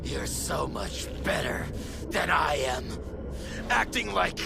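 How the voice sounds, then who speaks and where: A man voicing a tormented, menacing monster of an antihero in a video game, gravelly, halting and labored. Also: a little high, huge pitch swings, over music, full character voice. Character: tormented male monstrous antihero under mind control.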